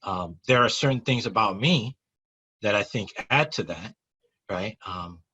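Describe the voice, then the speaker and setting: A man's voice: lowish voice, pushed and bright, slightly rough. A man from the Long Beach area, co-founder of a nonprofit and a guest, speaking in an earnest way and often repeating and restarting words. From Long Beach area, California. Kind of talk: conversational interview. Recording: muffled, lo-fi audio.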